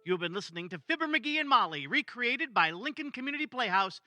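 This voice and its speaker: A man's voice: very high-pitched, lively intonation, quick pace, pushed and bright, soft background music. A man reading scripted closing credits as an announcer.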